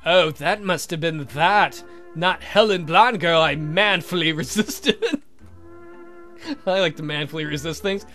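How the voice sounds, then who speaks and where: A man's voice: fairly high pitch, measured pace, a bit bright, soft background music. A man hosting a let's play, reading a game aloud with casual, irreverent joking commentary.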